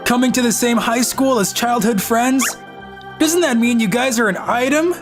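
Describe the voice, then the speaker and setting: A woman voicing a brash, goading high school classmate in a manga dub. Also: normal speed, music in the background, natural voice. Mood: teasing. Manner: loud, goading, exclaiming.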